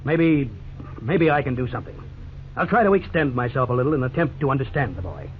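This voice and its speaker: An actor playing a proud, stern middle-aged father who talks gruffly and grudgingly, in 1950s radio drama style. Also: medium pitch, animated, fairly quick, close to an everyday voice. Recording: scratchy 1950s radio broadcast, tinny, faint hiss.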